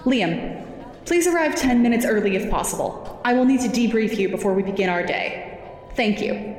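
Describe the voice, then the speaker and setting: In an echoey space, an actress plays a businesslike female boss from an audio drama. Her fairly high voice is formal and clipped as she gives instructions. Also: expressive, moderate pace, soft background music, lightly acted. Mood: formal, brisk. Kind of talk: fiction podcast audio drama acting.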